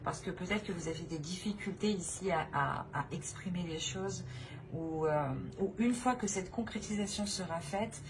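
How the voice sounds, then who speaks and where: A woman giving an informal spiritual tarot reading, halting and repeating herself as she searches for words. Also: on the low side. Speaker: tarot reader hosting a channel. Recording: clearly noisy recording with ambient sound.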